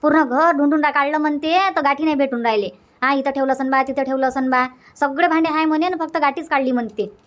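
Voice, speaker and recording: A woman's voice: high-pitched, steady tone, quick pace, natural voice. A woman voicing an earnest, flustered younger village sister-in-law in a comic cartoon, chatty and insistent, with a Varhadi Marathi accent. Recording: dull, lo-fi sound.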